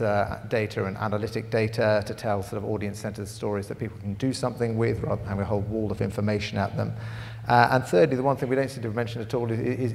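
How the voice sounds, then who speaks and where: Low, gravelly man speaking in a hall. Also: darkish tone. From United Kingdom. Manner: measured, with frequent "uh" fillers. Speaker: panel speaker, head of audiences. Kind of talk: conference panel talk. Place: conference hall. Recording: large hall reverb.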